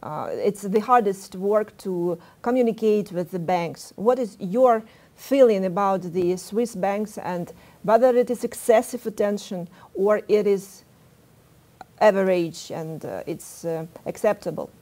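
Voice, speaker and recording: A woman moderating a business panel, asking questions in halting speech with many fillers. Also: mid-range voice, mellow.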